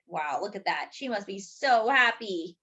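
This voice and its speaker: Lady doing storytime for little kids, slow and super animated, stretches words out, bit muffled audio. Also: medium pitch, breathy edge. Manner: expressive, with drawn-out words and asides to young listeners. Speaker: children's storytime host. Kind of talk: picture book read-aloud for young children.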